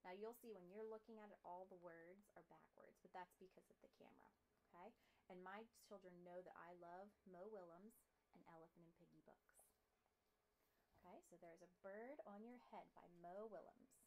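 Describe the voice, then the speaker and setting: A woman's voice: fairly low pitch, normal speed, darkish tone, slight background noise, rough recording. A young adult woman chatting casually to children as a read-aloud presenter, recorded in a small room at home.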